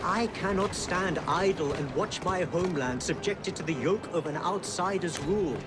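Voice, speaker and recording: An actor playing a courteous, dignified exiled Indian maharaja in a video game, speaking in a formal, measured way. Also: male voice, high voice, very noisy, close to an everyday voice. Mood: earnest, proud. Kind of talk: dramatic video game cutscene acting.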